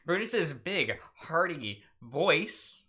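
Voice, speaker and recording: A man's voice: fairly high pitch, big pitch swings, extremely slow, sharp tone, husky. A young man hosting a let's play, chatting casually, laughing often, and reading game text aloud with frequent stumbles.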